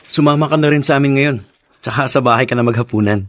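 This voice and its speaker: A man's voice: medium pitch, fast talker, lightly acted. Guy acting a kind dutiful son in a radio soap, reassuring, mid voice. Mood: warm, reassuring. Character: dutiful adult son, gentle, reassuring husband. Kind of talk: Tagalog radio drama acting.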